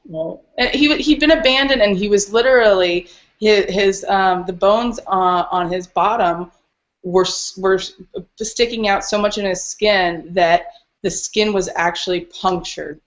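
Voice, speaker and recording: A woman's voice: mid-range voice, measured pace, highs cut off. A woman speaking as a dog rescuer and podcast guest, telling stories casually and earnestly. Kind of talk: casual interview storytelling.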